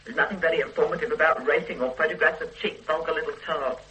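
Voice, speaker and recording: An actress playing a fussy, complaining wife confined to bed with a bad back, in a radio drama. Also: female voice, low-pitched, steady tone, fast talker, bright, forceful tone, muffled recording. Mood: peevish. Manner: fussy, petulant and demanding. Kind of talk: 1970s radio drama acting. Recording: old radio recording with faint hiss.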